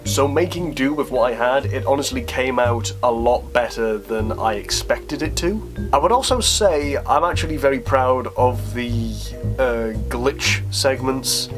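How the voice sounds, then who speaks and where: A man with a mid-pitched voice chats informally as a video creator taking questions. He thinks aloud and speaks in a self-deprecating, conversational way. Music plays clearly behind him. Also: unhurried. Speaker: YouTube creator answering viewer questions. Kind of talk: casual Q&A vlog talk.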